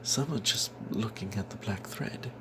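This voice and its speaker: A man telling a fantasy tabletop tale as whispered ASMR, in a breathy, halting way. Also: fairly low pitch, background noise.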